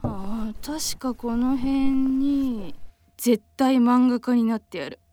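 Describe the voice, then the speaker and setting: A woman's voice: a little high, takes it slowly, mellow. A woman playing an easygoing, dreamy young aspiring cartoonist who sighs and muses to herself in a radio drama. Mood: nostalgic. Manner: sighing, musing to herself.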